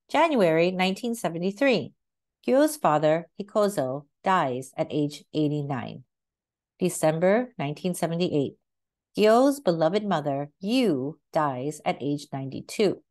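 A woman narrates a children's book in a read-aloud style. Her voice sits a little low but moves through a lively range of intonation. The phrasing is measured and expressive.

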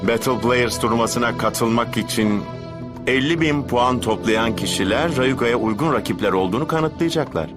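An actor playing a scheming, smooth adult villain in a Turkish-dubbed anime, speaking in a measured, condescending way. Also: male voice, mid-range voice, lightly acted. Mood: cool, calculating. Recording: music behind the voice.

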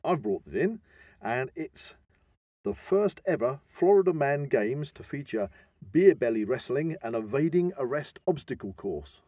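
A man's voice: mid-range voice, sing-song, a little slow, dark tone, breathy edge, dry recording, clean studio sound. An English man co-hosting a podcast, chatting casually and telling stories with amusement. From England. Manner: chuckles through his telling. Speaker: podcast co-host. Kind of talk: casual banter and humorous storytelling.

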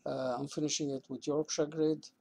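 An older man casually explaining a woodturning project in his workshop, plain-spoken and halting. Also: on the high side, flat delivery, darkish tone. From South Africa. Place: small indoor cluttered woodworking shop.

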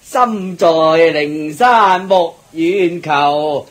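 A weird rambling street singer-mystic, super slow sing-song, radio drama. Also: male voice, a little high. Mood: chanting, whimsical. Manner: sing-song, drawn-out, whimsical. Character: eccentric, laughing, rambling street singer-sage. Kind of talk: radio drama acting, half-sung chanting.

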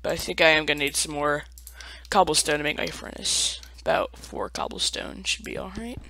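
A man's voice: a little high, normal speed, creaky, bright, forceful tone. Chatty young man narrating gameplay. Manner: chatty, self-mocking, playful sing-song asides. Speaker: let's play gamer. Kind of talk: casual gameplay commentary.

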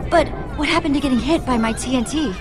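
Plucky young heroine acted by a woman, video game, high voice, defiant, wry, earnest, music behind. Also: quick pace, a crowd in the background, natural voice. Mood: urgent. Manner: earnest, wry, mock-grand. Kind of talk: animated video game acting.

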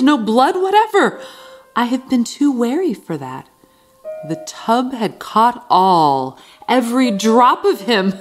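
An actress playing an obsessively tidy, murderous housekeeper, a genteel lady narrating a Gothic radio play in a theatrical, deliberate way. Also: a little high. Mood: gloating. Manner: theatrical, deliberate, repeating words for emphasis.

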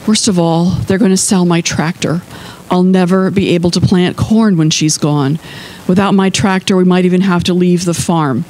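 A man voicing a gentle, sorrowful farmer father in a storybook, speaking softly and plainly. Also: high voice, fairly even intonation, moderate pace, lightly acted, rough recording. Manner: soft, plain-spoken, grave.

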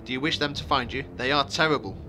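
A man voicing a calm, secretive and wary wandering stranger, with a brisk, commanding and ominous way of talking, as in a fantasy storybook. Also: medium pitch, slight background noise. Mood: urgent, ominous.

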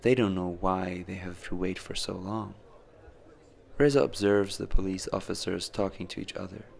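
A man delivers scripted documentary narration. His voice is on the low side with heavy vocal fry. He speaks in a measured, deliberate way, telling the story line by line. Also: steady tone.

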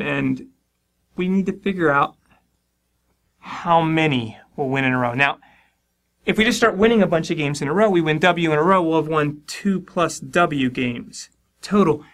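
A man in his forties teaches a math lesson at a board in a studio, talking in a slightly higher than usual voice. He explains each step plainly and slips in dry jokes at his own expense. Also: a bit bright. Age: 40s. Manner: dry self-deprecating humor. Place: studio in front of a dark board.

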